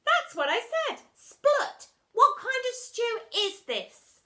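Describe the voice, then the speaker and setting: A woman's voice: very high-pitched, sing-song, takes it slowly, sharp tone, voice pushed up, full character voice. A woman voicing a cheeky, defiant schoolgirl in a children's story, indignant and emphatic.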